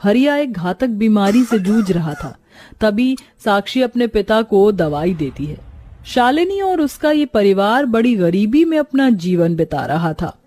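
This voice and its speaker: Slow, mellow female storyteller. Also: mid-range voice, sing-song, soft background music. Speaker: story narrator. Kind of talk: scripted moral story narration.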